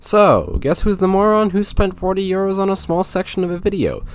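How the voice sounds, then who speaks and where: A man's voice: high voice, big pitch swings, brisk, background noise. A man narrating a scripted video game review in a wry, sarcastic way.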